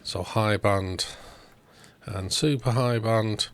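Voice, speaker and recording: A man hosting a repair video, talking through the work step by step in short, unhurried phrases. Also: lowish voice, a bit bright. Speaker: host of electronics repair videos. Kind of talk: narrated repair walkthrough.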